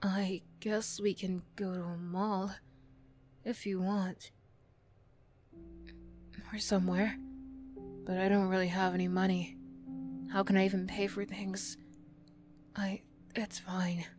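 Woman acting a slow, flustered young former bully. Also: mid-range voice, flat delivery, darkish tone, faint music behind, natural voice. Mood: hesitant, shy. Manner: stammering, bashful, snappy. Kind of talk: ASMR roleplay acting.

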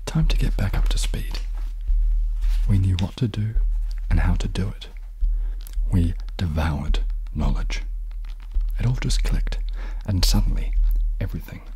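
A man playing a slow, whispering, smug alter ego. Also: sits low, mellow, rough recording. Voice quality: breathy whisper. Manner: slow, intimate, slyly cocky. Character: smug, seductive male inner alter ego, drug-boosted schemer. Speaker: ASMR performer. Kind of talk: whispered ASMR short film narration.

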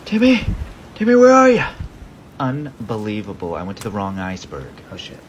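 A man's voice: lowish voice, wildly swooping pitch, moderate pace, muffled recording. A frantic penguin parent acted by a man exclaims and grumbles, flustered and exasperated. It is a comic animal voiceover with a little noise behind.

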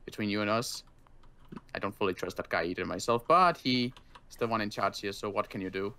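A man voicing a wary but kindly armed guard in an improvised game roleplay, speaking casually and haltingly. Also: lowish voice, sharp tone, a little hiss or hum. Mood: cautioning. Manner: casual, halting, hesitant delivery.

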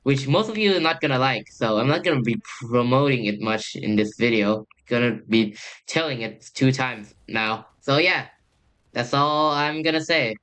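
Bright-voiced young man rambling over gameplay. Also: mid-range voice, clean tone. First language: Thai. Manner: rambling, hesitant, trails off with "I guess". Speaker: youtuber. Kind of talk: casual gameplay commentary.

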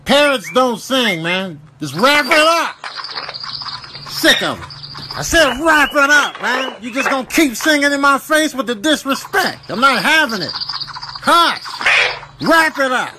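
A man's voice: very high voice, sing-song, a little slow, noisy background, exaggerated voice. A man voicing an indignant, bossy house pet that rants and scolds in exasperation in a comic animal voice-over. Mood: exasperated, scolding.

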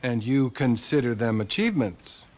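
A man acting a detached, patronising therapist with measured phrasing in a video game cutscene. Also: medium pitch, lively intonation, a little mellow, natural voice. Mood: detached, probing. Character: smug, detached male therapist, platitude-spouting.

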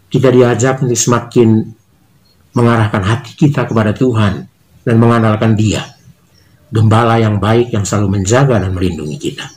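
Earnest middle-aged priest giving a reflection. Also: male voice, medium pitch, rough recording. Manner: measured, earnest, reads in steady phrases. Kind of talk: spoken reflection, sermon-like. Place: small indoor office with bookshelves.

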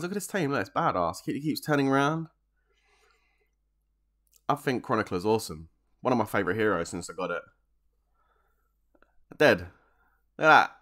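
A man's voice: medium pitch, fairly bright tone. A man, chatting casually on a game live stream, joking in slang, laughing often and answering viewer questions from his desk at home.

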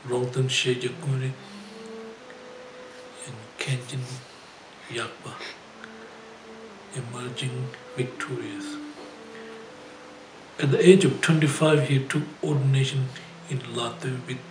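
An older man, a Buddhist teacher, reading a biography aloud haltingly with frequent pauses. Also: mid-range voice, fairly even intonation. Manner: halting, with pauses and throat clearing. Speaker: Buddhist teacher and spiritual director. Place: indoor shrine room, seated at a desk. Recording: echoey room, clear background noise.

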